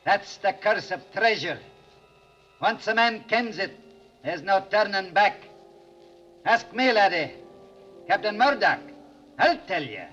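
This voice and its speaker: An actor playing the menacing ghost of an old Scottish pirate captain in a radio horror play, theatrical and taunting. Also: male voice, sits high, animated, takes it slowly, pauses often, pushed and bright, heavily acted. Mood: sinister, tempting. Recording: muffled vintage radio recording, faint music.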